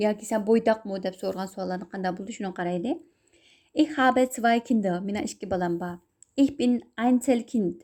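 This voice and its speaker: Young woman, uyghur native, teaching german, says each phrase slow and clear then explains it calm. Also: medium pitch. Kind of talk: recorded language lesson.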